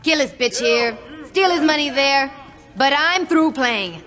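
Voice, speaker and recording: Tough female gang leader, highish voice. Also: lively intonation, a little slow, sharp tone, exaggerated voice. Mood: rallying, vengeful. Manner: blunt, no-nonsense, profane. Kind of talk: video game cutscene acting. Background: clear music.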